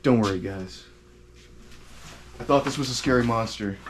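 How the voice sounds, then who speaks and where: A man voicing a goofy male monster hunter in an indie horror game cutscene, slow and mock-dramatic, talking to his viewers. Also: medium pitch, a little hiss or hum, natural voice. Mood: mock-spooky.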